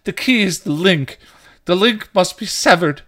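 A man acts out a mad knight locked away as a patient in a story. He cries out broken prophecies in a loud voice pushed far up in pitch. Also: lively intonation, unhurried, full character voice. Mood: frenzied. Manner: crying out in fragmented prophecies. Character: raving insane male knight, mental patient.

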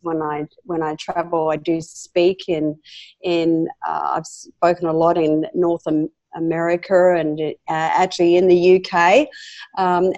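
A woman's voice: fairly low pitch, a little mellow, dry recording. An Australian woman in her fifties, a founder and media commentator, talking chattily as a podcast guest with many fillers and restarts. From South Australia. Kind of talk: casual interview talk.

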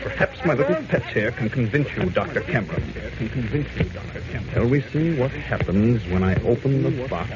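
An actor playing a smug, sadistic villain who taunts with mock politeness in a melodramatic 1940s-style radio serial. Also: male voice, medium pitch, expressive, a little fast, a crowd in the background, close to an everyday voice. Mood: gloating, threatening. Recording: muffled old radio broadcast.

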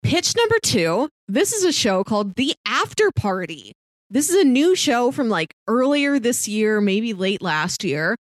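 A woman co-hosting a podcast, chatting casually and pitching shows to her co-host. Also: very animated, measured pace, dry recording.

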